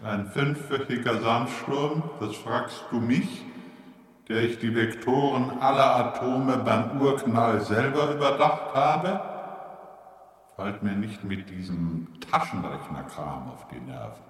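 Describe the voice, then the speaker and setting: An actor playing a vast, pompous, boastful supercomputer in a radio play, speaking in a ponderous, declamatory way. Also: male voice, lively intonation, takes it slowly. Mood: scornful. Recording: booming echoey hall, far off.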